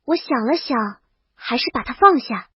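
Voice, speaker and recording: A woman's voice: sits high, expressive, moderate pace, husky, no room sound. A woman reading a scripted first-person story as an audiobook narrator. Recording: dull, lo-fi dry voice track.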